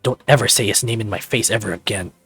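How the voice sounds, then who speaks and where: A man's voice: fairly low pitch, quick pace, vocal fry, a bit bright, husky, faint music behind, full character voice. A man voicing a brooding, hostile, muscular male furry lab worker in a visual novel, curt and menacing.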